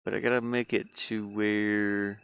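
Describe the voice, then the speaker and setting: A man chatting casually on a live stream as he works on a game, halting and muttering his thoughts aloud. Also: fairly low pitch, little pitch movement, a bit bright, clean tone, no room sound, studio quality. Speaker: hobby game developer streaming his work. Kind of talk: casual live-stream chat while working.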